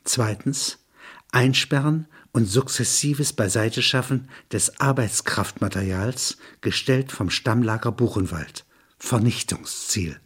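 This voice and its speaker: Sober male narrator, slightly husky. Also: mid-range voice, slow. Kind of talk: scripted documentary narration, literary radio piece.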